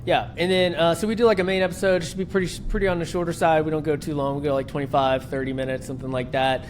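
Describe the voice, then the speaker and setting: A man's voice: a little high, quick pace. Upbeat man from West Virginia. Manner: upbeat and friendly, says man a lot. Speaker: podcast host. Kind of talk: casual pre-interview chat. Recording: steady background noise.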